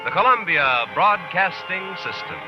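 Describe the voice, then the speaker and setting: A man's voice: high-pitched, lively intonation, a little slow, over music. A man with an American accent reading scripted network announcements in 1950s radio style. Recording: dull vintage radio recording.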